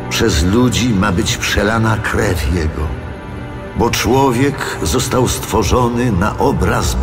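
Casting: solemn divine ruler for Bible audio drama, low, measured, commanding, over music. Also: male voice. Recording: long cavernous reverb over music.

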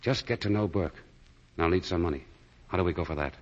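An actor playing a suave, coolly resolute condemned fugitive in a 1940s radio drama. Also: male voice, low voice, quick pace. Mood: urgent, clipped. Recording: muffled vintage 1940 radio broadcast.

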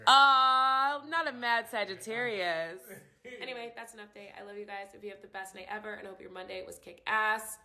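A young woman in her twenties recording a casual phone update for her followers, bubbly and playful, sometimes going soft and confiding. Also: medium pitch, moderate pace. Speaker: social media personality. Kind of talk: casual selfie video update. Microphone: phone camera held at arm's length.

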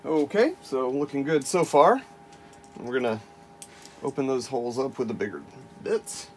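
A man's voice: medium pitch, lively intonation, moderate pace. A man casually narrating his hands-on DIY work in a workshop, thinking aloud as he goes. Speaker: maker narrating a DIY build. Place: indoor cluttered home workshop. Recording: noisy workshop camera recording.

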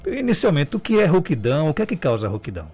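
A man with a Brazilian accent hosting a radio show from the studio, announcing segments in a brisk way. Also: fairly high pitch, wildly swooping pitch, a little mellow, slightly rough. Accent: Brazilian Portuguese. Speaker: radio host. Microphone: studio mic with headphones.

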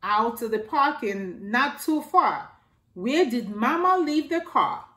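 A middle-aged woman reading a rhyming picture book aloud to children in a slow sing-song way, filmed indoors at home by a camera a few feet away. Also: fairly high pitch, expressive, fairly bright tone. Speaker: storytime reader. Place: living room by a staircase, indoors. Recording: echoey room.

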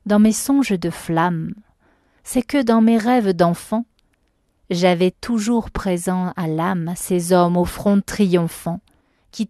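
A woman reciting a literary poem with measured, expressive phrasing. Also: medium pitch, no room sound, studio quality. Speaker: poetry reader.

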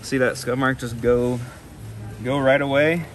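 A man's voice: mid-range voice, steady tone, unhurried, a little hiss or hum. A young man giving a casual hands-on product demonstration, explaining plainly step by step. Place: large carpeted indoor venue. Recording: busy public room with background chatter. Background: crowd murmur.

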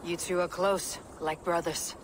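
Game role: actress as a guarded Viking warrior woman, low register, terse and blunt, noisy ambience behind. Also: flat delivery, unhurried, natural voice. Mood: grim resolve. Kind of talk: video game cutscene acting.

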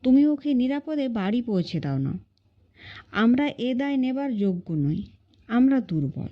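A woman's voice: a little high, sing-song, a little slow, dull audio. Woman reading as an anxious, protective uncle. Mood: anxious pleading. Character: protective, anxious, humble uncle guarding his niece.

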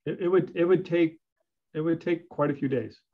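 Male staffer, fairly high voice, hesitant meeting talk, lo-fi call sound. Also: normal speed. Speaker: city public works staff member. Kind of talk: measured meeting discussion. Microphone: video call. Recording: dull, lo-fi video call audio.

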